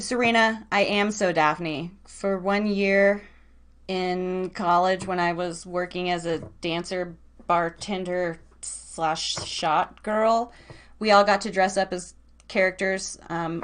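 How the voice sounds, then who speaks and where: A woman hosting a live online show, talking in a casual, slangy, animated storytelling way. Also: lowish voice, unhurried.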